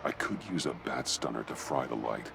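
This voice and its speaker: A man voicing a grim, brooding masked crime fighter in a video game, muttering tersely in a gravelly growl. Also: very deep voice, fairly quick, noisy background, heavily acted. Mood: focused, wary.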